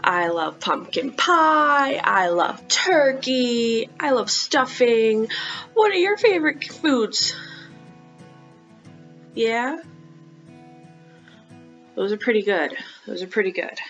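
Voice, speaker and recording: A woman hosts a read-aloud for young children. She speaks at an unhurried pace and addresses the kids directly, often inviting answers. The sound is a little dull and lo-fi. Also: fairly high pitch, very animated, a bit bright, faint music behind. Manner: child-directed, asking questions and pausing for answers. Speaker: children's librarian hosting storytime.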